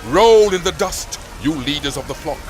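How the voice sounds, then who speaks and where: A man playing a solemn prophet who relays divine judgment, declaiming in a grave, measured way in a dramatized scripture narration. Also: on the high side, animated, slight background noise, natural voice. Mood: impassioned doom. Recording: faint music behind the voice.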